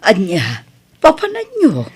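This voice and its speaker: A woman's voice: mid-range voice, huge pitch swings, slow, darkish tone, slightly rough, full character voice. An actress playing a caring, fussing older mother and grandmother in an audio drama, with an Ilocano accent.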